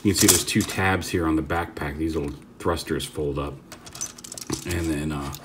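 Low-voiced man demoing a toy. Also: steady tone, normal speed, very noisy. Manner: rambling, stops to correct himself while handling the toy. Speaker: toy reviewer. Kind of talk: casual hands-on product demo.

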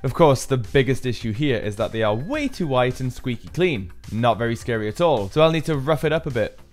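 A man's voice: medium pitch, lively intonation, brisk, soft background music. A man giving an upbeat, explanatory voice-over for a build tutorial, as the maker himself. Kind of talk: scripted tutorial narration.

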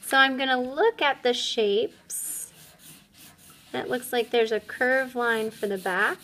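Bright-voiced teacher explaining to children. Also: female voice, a little high, slow pace, slight background noise. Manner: upbeat, explains simply for young children. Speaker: elementary art teacher. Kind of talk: step-by-step art demonstration.

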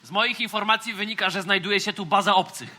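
A man's voice: high-pitched, brisk, very noisy, lightly acted. A man playing a bossy, paranoid, destruction-loving space captain in a children's audio play, commanding and boastful. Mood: stern, warning. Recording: music under the voice. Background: music.

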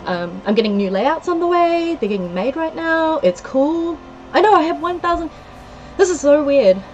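A woman's voice: sits high, very animated, moderate pace, muffled recording, faint music behind. Chatty Kiwi woman on a game stream. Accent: New Zealand. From New Zealand. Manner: chatty, giggly, lists place names from chat. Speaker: livestreamer. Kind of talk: casual game-stream chat, reading out viewer comments. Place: small room indoors. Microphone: headset.

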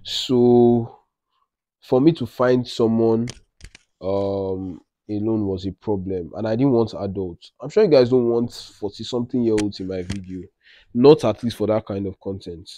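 Young Nigerian man vlogging, low bright voice. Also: measured pace. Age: young adult. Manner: animated storytelling with Pidgin slang and self-quoting. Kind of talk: casual travel vlog chat to camera. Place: living room, indoors.

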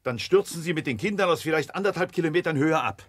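An actor playing a cautious, reluctant army general in a science fiction radio play. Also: male voice, a little high, lively intonation, fast, breathy edge, dry recording, studio quality. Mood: wary.

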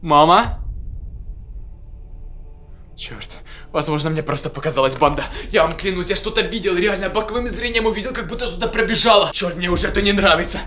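Brief: young male vlogger, fast and dramatic, close camera in a small home room. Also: sits high, faint music behind. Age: young adult. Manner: excitable, dramatic, swings to hushed fear. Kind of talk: staged horror vlog.

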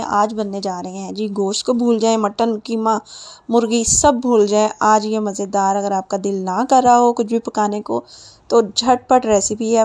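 A woman's voice: mid-range voice, darkish tone. A woman giving a casual recipe voice-over as a home cooking host, chatty and instructional.

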